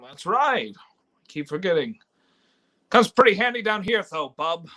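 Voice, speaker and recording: A man's voice: high-pitched, big pitch swings, measured pace, bright, forceful tone, full character voice. A man playing a despairing, folksy everyman who stammers, in a live reading of an old-time radio play. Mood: wry. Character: despairing, stammering everyman wishing he was never born.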